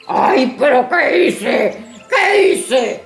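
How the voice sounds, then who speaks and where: A storybook ogre, acted out by a woman, gravelly and brooding, dragging out each snarling word. The voice rings in a roomy echo with music underneath, menacing and vengeful. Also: high-pitched, very animated, extremely slow, heavily acted. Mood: anguished. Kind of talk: dramatized fairy-tale audiobook.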